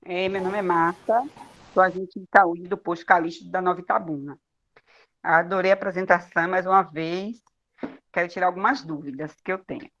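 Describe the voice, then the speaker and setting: A woman's voice: fairly low pitch, steady tone, moderate pace, a bit bright, highs cut off. A woman with a Brazilian accent, a health worker asking a question after a lecture. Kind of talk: audience question.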